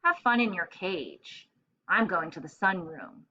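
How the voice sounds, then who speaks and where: A woman's voice: on the low side, big pitch swings, measured pace, bright, forceful tone, lo-fi sound, full character voice. Woman playing a sly, condescending storybook cat. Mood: scornful. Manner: disdainful, coaxing.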